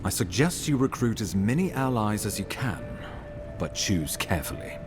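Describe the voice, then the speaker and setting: An actor playing a grave imperial official in a video game, speaking formally and deliberately with authority. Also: male voice, on the low side, big pitch swings, music in the background. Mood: measured, cautioning. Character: grave, commanding imperial official briefing an envoy.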